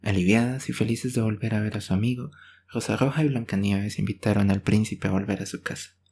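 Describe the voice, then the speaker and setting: Breathy man telling bedtime stories. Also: on the low side, a little fast, a little mellow. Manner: affectionate, soothing boyfriend persona. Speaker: ASMR roleplay performer, storyteller. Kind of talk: intimate ASMR bedtime storytelling.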